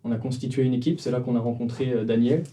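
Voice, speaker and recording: A man's voice: medium pitch, steady tone, fast, warm tone, distant mic. A man in his twenties from the Vendée, a startup cofounder telling the story of his company in an interview, with many fillers and restarts. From France, Vendée. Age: 20s. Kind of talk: casual interview answers.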